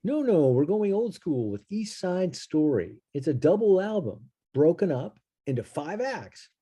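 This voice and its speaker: A man playing an easygoing, cool music studio guy with a mellow, smooth voice, conversational in a table read. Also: fairly high pitch, sing-song, measured pace, exaggerated voice. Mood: laid-back. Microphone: video call. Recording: video call, off-mic.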